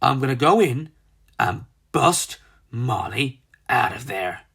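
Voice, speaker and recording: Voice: mid-range voice, sing-song, takes it slowly, gravelly, fairly bright tone, slightly rough, full character voice. A storybook narrator voicing a bold, impulsive male rooster with a brash, informal manner. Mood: determined.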